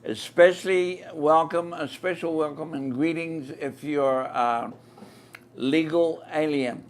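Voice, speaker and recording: A man with a New Zealand accent hosting a live-stream interview from inside a themed shop, speaking slowly and deliberately. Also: on the high side. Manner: slow, deliberate, repeats the shop address. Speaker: podcast host.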